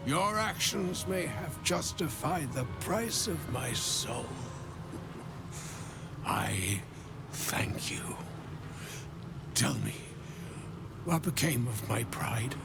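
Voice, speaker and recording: An actor playing a weary, dignified damned architect in a fantasy game, solemn and halting, often sinking to a near-whisper. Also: male voice, mid-range voice, expressive, vocal fry, exaggerated voice. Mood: sad, moved. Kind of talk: dramatic video game acting. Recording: prominent music behind the voice.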